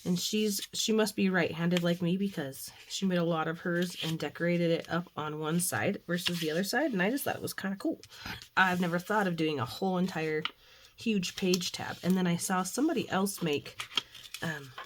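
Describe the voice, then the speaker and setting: A middle-aged woman, hoarse from the flu, chatting casually and rambling a bit as she hosts a faith and crafting video at home. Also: on the low side, moderate pace, noisy background. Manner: chatty, rambling with frequent fillers. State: hoarse, recovering from flu with a cough. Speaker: craft channel host. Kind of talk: casual devotional and crafting chat.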